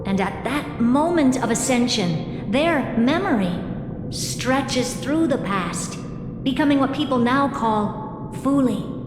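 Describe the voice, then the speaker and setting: A solemn game oracle with a fairly high female voice that rings through a long reverb. She speaks cryptically and deliberately, with music clearly playing beneath her. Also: lively intonation. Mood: solemn revelation. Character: solemn, enigmatic female oracle explaining fate to another. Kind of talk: dramatic video game story dialogue. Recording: echoey reverberant hall, music underneath.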